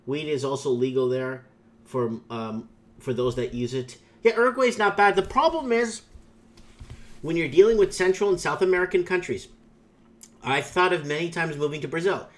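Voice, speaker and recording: Male channel host, Portuguese native, slightly high voice; loose, opinionated, filler-heavy commentary. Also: moderate pace. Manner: rambling, opinionated, many fillers and restarts. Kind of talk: casual commentary reading viewer comments.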